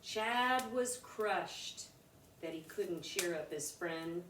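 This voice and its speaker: A woman reading a rhyming children's picture book aloud in an expressive, deliberate way for young listeners. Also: lowish voice. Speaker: storybook reader. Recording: roomy, distant mic with background noise.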